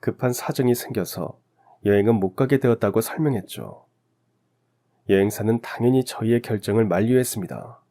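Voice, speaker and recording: A man's voice: on the low side, normal speed, warm tone. A man reading scripted first-person narration for a sentimental radio story.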